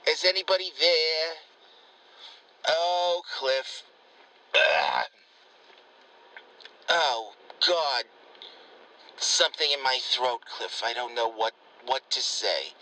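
A rambling old grandmother, put on by a man, fusses and gossips in a high, piercing, very bright voice. She dotes on her grandson one moment and clutches her pearls the next, all in one breathless comedic phone message. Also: heavily acted, low-quality recording. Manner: fussy, gossipy, doting.

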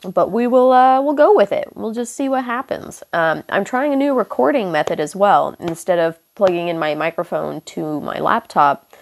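A young woman hosting a podcast, hoarse and stuffed up from illness, rambling casually with lots of fillers and apologies while walking around her house on a headphone mic. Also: medium pitch, expressive, normal speed. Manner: meandering, many fillers and apologies, casual swearing. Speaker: podcast host. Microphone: headphone mic into phone.

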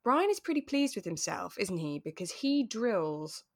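A woman co-hosting a podcast, chatting in a relaxed, explanatory way with playful asides. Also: mid-range voice, normal speed, no echo, clean studio sound. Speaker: podcast co-host. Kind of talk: relaxed casual discussion of a radio drama.

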